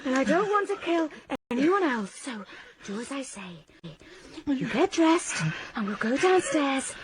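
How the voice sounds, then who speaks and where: A woman's voice: high-pitched, animated, a little slow. A woman playing an unhinged, murderous, obsessive woman in a radio drama, gushing and imperious with a brittle cheer. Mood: menacing, desperate.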